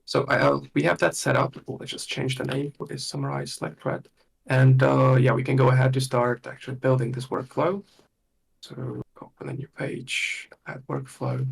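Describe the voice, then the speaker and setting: A man leading a workshop with a live software demonstration, thinking aloud in a halting way and murmuring to himself as he works. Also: medium pitch, little pitch movement, gravelly, breathy edge. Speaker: workshop instructor.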